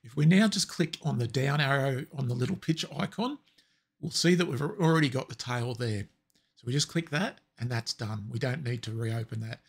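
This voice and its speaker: Raspy man walking through a tutorial. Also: medium pitch, normal speed. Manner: relaxed step-by-step explaining with fillers. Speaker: tutorial presenter. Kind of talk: screen-recorded software tutorial.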